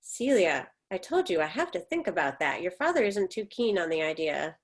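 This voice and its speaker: A woman's voice: fairly low pitch, very animated, normal speed. A woman reading the part of an embittered, defensive middle-aged mother in a stage drama, with emphatic, reproachful delivery. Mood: weary, evasive. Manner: emphatic, reproachful, clipped retorts.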